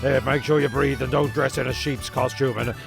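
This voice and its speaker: A man's voice: medium pitch, steady tone, a little fast, vocal fry, music in the background. A man narrating a comedy audio serial as scripted storytelling, breaking off into rambling, sweary asides.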